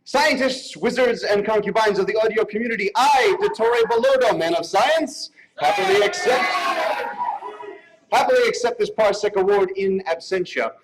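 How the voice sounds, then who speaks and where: A man with a notably high voice reads an acceptance statement aloud. The delivery is formal and mock-pompous, played for comedy. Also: moderate pace, a little hiss or hum, rough recording. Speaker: stand-in accepting an award.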